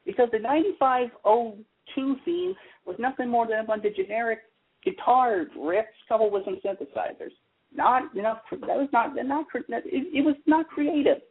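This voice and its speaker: A man's voice: very high voice, animated, normal speed, husky. A man calling in to a radio show, giving blunt, emphatic opinions in casual chat. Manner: blunt, emphatic, exasperated ranting. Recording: tinny phone line.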